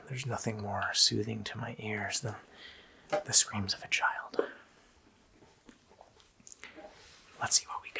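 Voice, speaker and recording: A man voicing a sadistic, joking Christmas demon in a gravelly, whispered ASMR roleplay, with a slow, mockingly playful menace. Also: on the low side. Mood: gleefully menacing. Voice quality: gravelly whisper with creaky growl.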